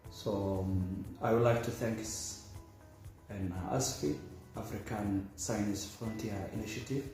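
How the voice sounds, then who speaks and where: A man from Somalia speaking about a course he took, in a halting way with repeats and fillers. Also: fairly low pitch, steady tone, darkish tone, very echoey, soft background music, rough recording. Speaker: course participant, academic director. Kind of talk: testimonial.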